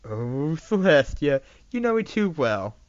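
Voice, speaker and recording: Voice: medium pitch, big pitch swings, measured pace, no echo, muffled recording, voice pushed down. A narrator voicing a mischievous, maniacal pony princess who breaks into devious chuckles in an amateur story reading. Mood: scheming.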